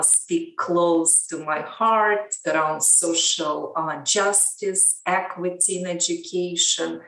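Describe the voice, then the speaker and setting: A woman from Ukraine, a lecturer, giving measured, thoughtful interview answers. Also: lowish voice, creaky. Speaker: guest, education lecturer. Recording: roomy, distant mic.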